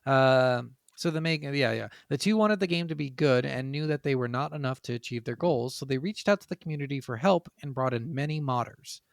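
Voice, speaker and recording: Gravelly man co-hosting a podcast. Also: mid-range voice, a little fast, hardly any pauses, no echo, studio quality. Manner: heavy casual swearing. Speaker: podcast co-host. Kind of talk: casual video game podcast chat, partly reading from notes.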